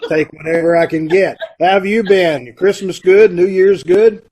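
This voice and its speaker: A man with a somewhat high voice hosts a community troupe's online show. He talks in a chatty, folksy way, addressing viewers and guests casually over a video call. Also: normal speed, a crowd in the background. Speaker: host of an amateur radio theatre troupe's online show. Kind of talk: casual welcoming chat to an online audience.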